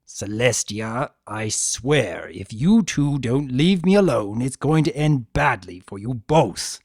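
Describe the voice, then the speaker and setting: A man voicing an urbane but sullen and volatile male spirit of chaos in a fantasy story, with theatrical, drawn-out, emphatic delivery. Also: mid-range voice, animated, a little mellow, husky, no room sound, clean studio sound, full character voice. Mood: sullen, menacing. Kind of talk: dramatic fan fiction reading.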